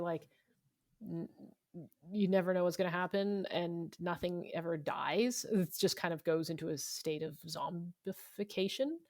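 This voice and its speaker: Lower-voiced woman, close dry sound, conversational. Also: a little mellow, no room sound. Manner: talkative, informal, explanatory. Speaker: interviewed novelist. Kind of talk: conversational interview answers.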